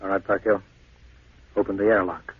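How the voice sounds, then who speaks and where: An actor playing a stern, cautious spaceship captain in a 1950s radio drama, with a clipped, commanding manner. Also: male voice, low-pitched, flat delivery, normal speed, lightly acted. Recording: noisy, narrow-band 1950s radio recording.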